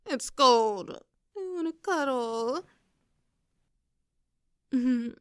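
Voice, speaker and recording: A woman's voice: high voice, expressive, drawn-out words, clear, resonant tone, no echo, clean studio sound, heavily acted. A woman playing an affectionate, teasing young girlfriend in an intimate roleplay audio, coaxing and giggly in a conversational way. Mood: cosy, affectionate. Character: playful, clingy, affectionate young girlfriend teasing her partner.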